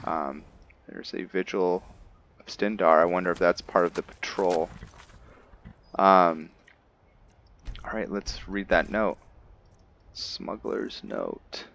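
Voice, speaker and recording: A man's voice: deep voice, a bit bright, muffled recording. A man giving unscripted video game commentary, musing and hesitant as he thinks aloud while role-playing. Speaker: gaming video host, role-playing a character.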